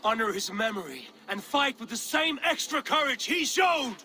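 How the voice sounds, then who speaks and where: An actor playing a fierce, commanding Viking warrior leader in a dramatic video game. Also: male voice, extremely high pitch, lively intonation, moderate pace, noisy background. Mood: rousing.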